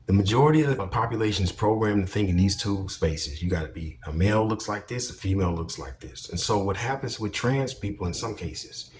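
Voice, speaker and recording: A man's voice: lowish voice, a little hiss or hum. A man giving casual opinion commentary as a vlogger, speaking bluntly and emphatically with plenty of swearing.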